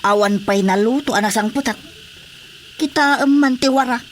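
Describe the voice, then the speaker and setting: An aggrieved, complaining farmer husband. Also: male voice, very high-pitched, moderate pace, fairly bright tone, a little hiss or hum. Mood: scolding. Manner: complaining, insistent. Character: aggrieved farming husband fed up with his wife. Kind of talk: radio drama acting.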